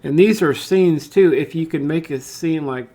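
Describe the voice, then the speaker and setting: A man narrating a step-by-step software tutorial in an informal way, thinking aloud as he demonstrates. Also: fairly high pitch, moderate pace.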